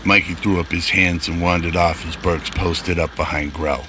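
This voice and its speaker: A man's voice: deep voice, fairly even intonation, normal speed, pushed and bright, a little hiss or hum. A man narrating a scripted sci-fi radio drama.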